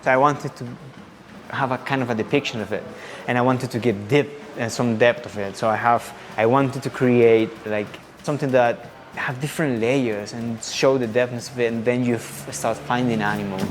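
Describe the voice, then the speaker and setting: A man from Colombia with a Colombian accent and native Spanish, explaining his design work haltingly, searching for words. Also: mid-range voice, fairly even intonation, a little hiss or hum. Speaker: bicycle designer. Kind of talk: casual explanatory interview.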